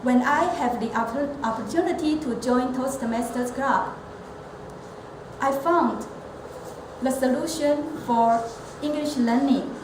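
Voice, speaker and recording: A woman speaks high and unhurried, setting out each phrase deliberately. Her earnest prepared speech carries some self-corrections. It was recorded in an echoey room with steady noise behind her. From Taiwan. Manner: measured, earnest, with occasional restarts. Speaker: elementary school teacher and club member.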